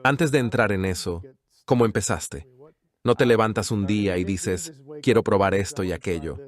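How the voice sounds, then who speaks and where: A man hosts an interview show in a fairly low voice. He welcomes the audience and steers his guest with curious, guiding questions. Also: normal speed. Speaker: interview host. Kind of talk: hosting an interview.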